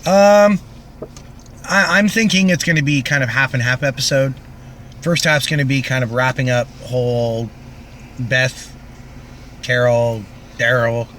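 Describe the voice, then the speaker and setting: A man's voice: medium pitch, a little slow, pauses often, fairly bright tone, a little hiss or hum. A man from Canada co-hosting a podcast, recapping television in loose, casual chat. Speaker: podcast co-host.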